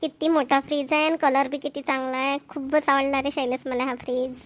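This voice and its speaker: A woman's voice: sits high, level tone, a little fast, very noisy, lightly acted. A woman voicing a proud young wife, with a pleased, chatty manner.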